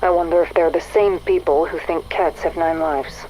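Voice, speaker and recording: A woman playing a curious, skeptical and quietly troubled figure in a game audio log, reflective, with hesitant pauses. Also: on the low side, fairly even intonation, close to an everyday voice, poor audio. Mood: pensive curiosity. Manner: hesitant, reflective, pausing mid-sentence. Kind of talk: scripted audio log monologue. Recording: faint noise, recorded audio log.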